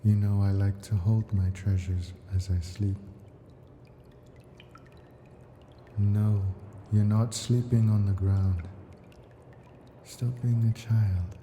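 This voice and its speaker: A man playing an ancient, possessive and doting dragon in a slow, intimate ASMR audio roleplay, speaking in an unhurried, lordly, soft way. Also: lowish voice, steady tone, a little hiss or hum. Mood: fond, weary. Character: ancient male dragon, possessive, doting, wounded hoarder of treasures.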